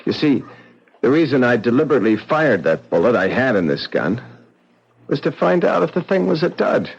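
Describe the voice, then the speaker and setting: An actor playing a sardonic, mocking painter in a vintage radio mystery. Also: male voice, mid-range voice, very animated, normal speed, creaky, slightly rough, heavily acted. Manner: mocking and sly, chuckling often. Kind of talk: vintage radio drama acting. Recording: dull old radio broadcast.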